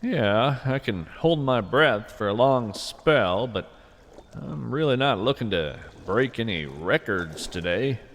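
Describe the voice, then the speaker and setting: A man hosting a scripted podcast, narrating whimsical nature fiction and poetry in an earnest, deliberate, conversational way. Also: mid-range voice, animated, in a large hall, slight background noise.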